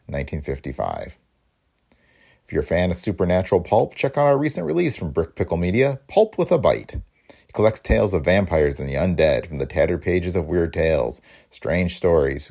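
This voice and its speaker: A man with a very low, gravelly voice presents a scripted podcast narration. His delivery is animated, rising and dipping across each phrase as a host would. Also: moderate pace.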